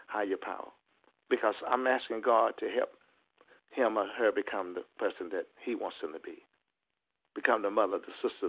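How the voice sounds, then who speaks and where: A man giving a motivational talk in a preacher-like style, with emphatic, deliberate phrasing and repeated refrains. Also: on the low side, husky. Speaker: motivational speaker. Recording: muffled lo-fi conference-call audio.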